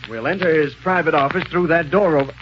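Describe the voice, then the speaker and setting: An actor playing a formal, deferential and efficient presidential secretary in an old radio serial. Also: male voice, on the high side, fast talker, lightly acted. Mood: courteous. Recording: muffled, noisy old radio recording.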